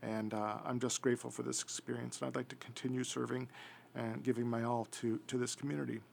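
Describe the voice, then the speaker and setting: A man seeking reelection at a local candidate forum, speaking sincerely and gratefully, halting with fillers. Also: medium pitch, level tone, creaky, a little hiss or hum.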